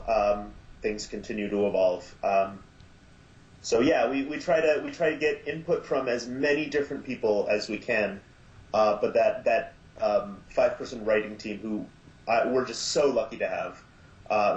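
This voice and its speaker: A man guest on a podcast interview, talking casually about his show and pausing often with fillers. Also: lowish voice. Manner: hesitant, many filler sounds. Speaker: podcast guest from an audio drama. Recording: echoey, dull lo-fi line.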